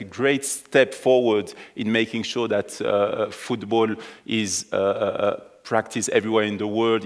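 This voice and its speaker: Hesitant French-accented man on a panel. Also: on the low side. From France. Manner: hesitant, many restarts and fillers. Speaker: panel speaker. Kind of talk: conference panel discussion.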